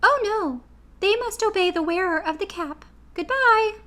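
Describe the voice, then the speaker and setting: A tiny, squeaky-voiced mouse queen, gracious and quick to help, as a woman reading aloud puts her on. Her voice sits very high and bright, with a light distant quality. A storybook figure told in a single reading voice. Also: lively intonation, full character voice. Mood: kindly helpful.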